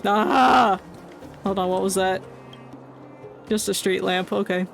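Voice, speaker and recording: A woman's voice: mid-range voice, measured pace, gravelly. A woman streaming a game with casual, joking, theatrical commentary over game music.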